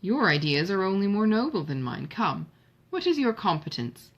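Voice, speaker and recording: A woman's voice: medium pitch, big pitch swings, moderate pace, husky, muffled recording. A woman voicing a sensible, composed young elder sister in a novel, reasoning in a formal, reproving way. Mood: wry, reasoned.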